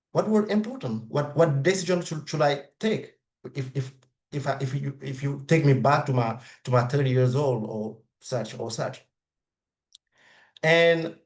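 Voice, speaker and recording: This is a man with a French accent, his voice sitting a little higher than most men's. He speaks English as a second language, hesitant and full of restarts. He is heard over a video call. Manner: halting, many restarts and repeated words. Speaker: webinar participant. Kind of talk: reflective spoken comment.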